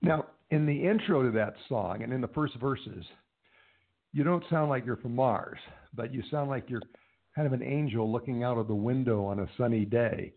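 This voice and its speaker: A man hosting an interview, asking thoughtful questions in a measured way with hesitant ums. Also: medium pitch. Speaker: interview host. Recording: tinny phone-like line.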